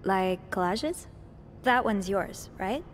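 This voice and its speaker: An actress voicing a curious, earnest, grateful young hotel maid in a naturalistic video game performance. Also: female voice, medium pitch, expressive, slow pace, slight background noise. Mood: curious, friendly.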